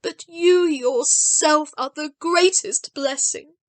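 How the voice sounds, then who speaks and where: A woman's voice: high-pitched, takes it slowly, voice pushed up. A woman reading aloud, voicing a frail, blind old grandmother who speaks tenderly and tearfully.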